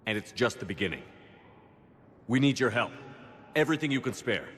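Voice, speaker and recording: A man's voice: medium pitch, sing-song, a little fast, fairly bright tone, long reverb tail. A man playing a resolute, earnest military commander in a video game, terse and firm. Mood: resolute, urgent. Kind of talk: dramatic video game acting.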